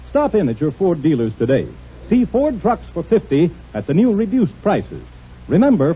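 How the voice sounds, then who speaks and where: A male announcer delivers a crisp, salesy 1950s radio commercial. His voice sits high and swings widely, with a breathy, husky edge. The old broadcast recording sounds muffled. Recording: dull 1950 radio broadcast.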